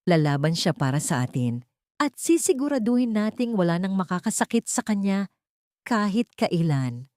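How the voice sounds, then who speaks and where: A woman's voice: medium pitch, big pitch swings, normal speed, darkish tone, dry recording, clean studio sound, lightly acted. A woman voicing a protective older brother reassuring his sister, in a storybook reading. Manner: reassuring, firm.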